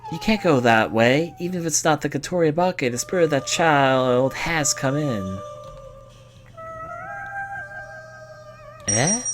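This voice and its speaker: A man voicing an earnest, protective young female student in a video game, pleading and halting as he reads the dialogue aloud. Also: mid-range voice, pitched above the performer's own voice. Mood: alarmed.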